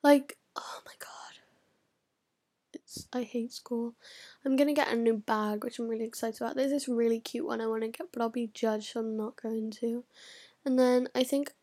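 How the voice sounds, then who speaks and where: A teenage girl chatting straight to camera in a rambling, scattered way. Her voice sits a little high, and she swears freely and often drops into a whisper mid-thought. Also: fairly even intonation, normal speed, warm tone. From United Kingdom. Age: teens. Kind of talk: casual vlog chat.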